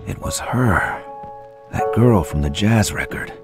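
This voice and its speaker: A very deep, brooding survivor. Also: male voice, lively intonation, slow pace. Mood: uneasy. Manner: grim, clipped noir-style narration. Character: weary brooding man narrating a haunted ordeal. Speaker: game protagonist narrator. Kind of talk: scripted game voice-over. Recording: voice over game music.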